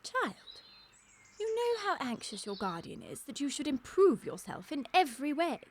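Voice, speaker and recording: Prim, schoolmistressy governess, period radio comedy. High-ish, very varied intonation. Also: female voice, big pitch swings, moderate pace, a little hiss or hum. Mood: brisk, scolding. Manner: schoolmistressy, precise, moralising. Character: prim, stern older Victorian governess, easily shocked.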